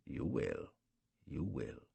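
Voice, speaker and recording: A man doing a cold, cruel warlord in a storybook reading. The voice is very deep and gravelly, husky at the edges, and it unspools its threats slowly and gloatingly. The sound is dry and close. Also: huge pitch swings, warm tone, no room sound, full character voice. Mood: menacing. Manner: slow, gloating, measured threats. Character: menacing cruel male warlord, cold and mocking. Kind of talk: audiobook character reading.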